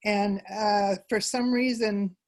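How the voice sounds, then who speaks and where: A woman's voice: mid-range voice, fairly even intonation, slow pace, darkish tone. A woman giving conversational answers as an interview guest about her work in a theater troupe.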